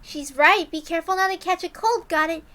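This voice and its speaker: Schoolgirl classmate, female reader, high and bright, friendly, chatty, earnest concern. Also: a little fast. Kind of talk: dramatic reading of a visual novel.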